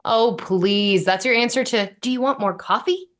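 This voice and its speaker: A woman's voice: medium pitch, normal speed, fairly bright tone, close to an everyday voice. A woman playing a wry, exasperated young woman in a sitcom script read.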